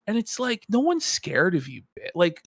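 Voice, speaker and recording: Crude mocking man with a gravelly voice. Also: high voice, sing-song, normal speed, no room sound. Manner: crude, mocking, loud outbursts when reading out subs. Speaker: podcast co-host. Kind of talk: casual commentary chat.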